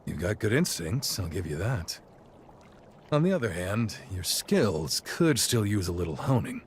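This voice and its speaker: An actor playing an easygoing middle-aged warrior captain and encouraging mentor in a video game cutscene. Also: male voice, on the low side, sing-song, measured pace, slight background noise. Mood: playful, confident.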